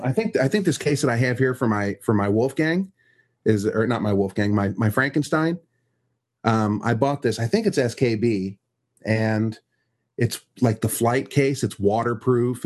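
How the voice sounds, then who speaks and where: A man hosting a casual podcast, talking loosely with lots of restarts. His voice is middling in pitch and a little husky. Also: moderate pace, darkish tone. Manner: rambling, friendly, with restarts. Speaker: podcast host. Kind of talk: casual chat.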